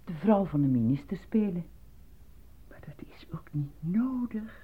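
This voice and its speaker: An actress in a radio play voicing a worried, sceptical wife who is disbelieving and insistent. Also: female voice, on the low side, animated, unhurried, slight background noise. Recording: muffled lo-fi old radio recording.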